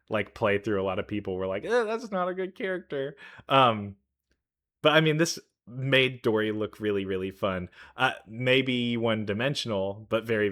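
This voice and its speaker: A man's voice: fairly low pitch, fairly bright tone. A man hosting a reaction video, chatting casually and enthusiastically as he thinks aloud. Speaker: reaction video host, gamer.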